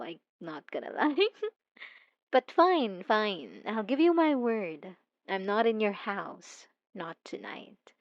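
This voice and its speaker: Woman voicing a possessive young stalker for ASMR. Soft, intimate, slow and teasing. Dry, slightly muffled sound. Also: on the high side, no echo. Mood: coaxing. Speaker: narrator speaking to the listener. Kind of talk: ASMR roleplay.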